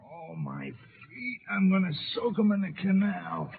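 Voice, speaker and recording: A man's voice: high voice, sing-song, unhurried, background noise, exaggerated voice. An actor playing a crude, grumbling American spaceship crewman in a 1950s radio drama, slangy and scornful. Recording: husky-sounding tinny 1950s radio recording.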